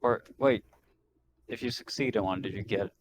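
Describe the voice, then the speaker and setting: A man's voice: lowish voice, moderate pace, a crowd in the background. A man playing a tabletop roleplaying game, chatting casually. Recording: noisy microphone with room ambience.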